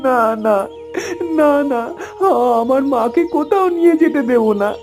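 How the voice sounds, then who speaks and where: A woman's voice: high voice, a little slow, darkish tone. A woman voicing a grieving young village boy who has lost his mother, speaking in a tearful, pleading way. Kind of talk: Bengali cartoon dubbing. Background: background music.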